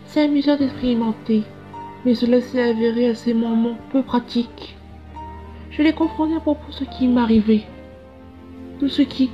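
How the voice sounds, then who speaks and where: Curious scientist seer for a comic dub, female actor: high-pitched, explanatory, slightly dull recording. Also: natural voice. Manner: explanatory, reflective. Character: earnest, curious scientist with visions of the future. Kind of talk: dubbed comic narration and dialogue. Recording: dull lo-fi sound with music behind.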